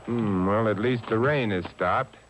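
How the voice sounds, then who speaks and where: An actor playing a suave, unflappable amateur sleuth with a dry, urbane manner in a 1950s radio mystery. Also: male voice, fairly low pitch, measured pace, lightly acted. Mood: calm, watchful. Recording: noisy old radio broadcast taped on cassette.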